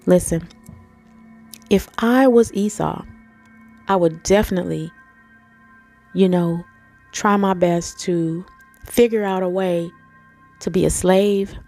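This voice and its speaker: A woman's voice: fairly low pitch, steady tone, slow, pauses often, dark tone, soft background music. A woman with a stuffy nose from allergies, reading scripture and explaining it to viewers in a casual, conversational way. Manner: conversational, addresses viewers as family and guys. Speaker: Bible teacher and channel host. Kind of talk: scripture reading and informal Bible teaching.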